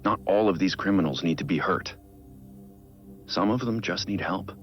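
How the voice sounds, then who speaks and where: An actor playing a weary, brooding male vigilante billionaire in a video game, speaking an introspective, measured dramatic monologue as a private audio log. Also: low voice, dull audio, soft background music. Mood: earnest, determined.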